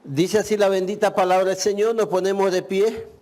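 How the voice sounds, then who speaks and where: A man preaching a sermon as pastor, delivering slow, emphatic phrases and often saying "este" between them. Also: high-pitched, level tone, a little mellow. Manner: uses the filler "este".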